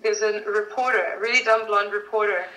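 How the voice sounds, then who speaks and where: A woman's voice: mid-range voice, fairly even intonation, brisk, bright, forceful tone. A woman from South Africa, a guest actress telling stories in a casual interview, chatty and laughing often. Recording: echoey room.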